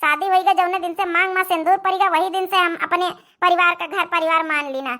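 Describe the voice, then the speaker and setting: Cartoon young wife , female performer: Awadhi accent, piercingly high, bright tone, contented yet emphatic and pleading. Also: steady tone, fairly quick. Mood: firm, indignant. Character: devoted young married sister, firm and contented. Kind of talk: cartoon family drama dialogue.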